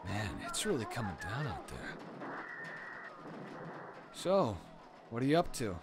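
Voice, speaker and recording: A man playing a caring, gently teasing young boyfriend in an intimate roleplay audio drama, reassuring his partner with sighs and soft talk. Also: mid-range voice, big pitch swings, measured pace, loud music behind the voice. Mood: playful, casual.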